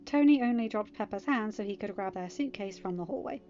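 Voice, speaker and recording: A woman's voice: mid-range voice, lively intonation, a little fast, dark tone, muffled recording. Woman narrating a story over music. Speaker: podfic narrator.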